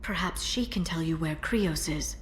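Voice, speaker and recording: A woman voicing a composed alien information broker in a video game cutscene, speaking softly and in a measured way. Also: sits low, a little hiss or hum, close to an everyday voice. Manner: soft-spoken, measured, quiet intensity.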